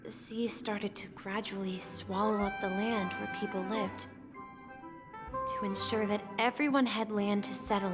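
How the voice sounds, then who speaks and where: A woman playing a wistful, earnest young boy in a video game story, speaking softly and hesitantly. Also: medium pitch, natural voice.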